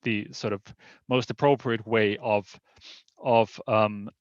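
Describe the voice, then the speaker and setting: A man giving an academic presentation as a legal scholar, explaining in a hesitant, halting way. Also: lowish voice, steady tone, smooth, no room sound, muffled recording.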